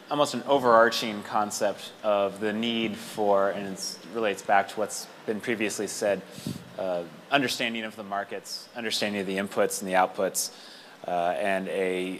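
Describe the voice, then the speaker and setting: Male workshop spokesperson, very low pitch, halting technical delivery, light room noise. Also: fairly bright tone. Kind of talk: informal spoken report to a meeting. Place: meeting room at an event. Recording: noisy room with clear background noise.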